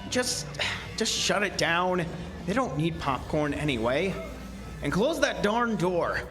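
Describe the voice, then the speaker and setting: An actor playing a bitter, weary carnival manager in a radio horror drama, brooding and expressive. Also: male voice, on the high side, slow. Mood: irritated, anguished. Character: bitter, weary carnival manager narrating his memoir from prison. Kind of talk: radio drama acting and first-person narration. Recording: music under the voice.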